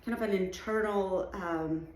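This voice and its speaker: Venezuelan-accented woman speaking in an echoey studio. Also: medium pitch. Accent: Venezuelan Spanish. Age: middle-aged. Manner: warm, unhurried, with fillers and restarts. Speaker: artist and art educator, show juror. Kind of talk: recorded address to students. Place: art studio indoors, seated. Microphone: camera in front, medium framing. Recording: echoey room.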